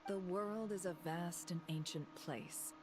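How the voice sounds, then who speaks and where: An actress playing a calm, wise young woman in a video game, measured and serene. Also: lowish voice, over music, close to an everyday voice.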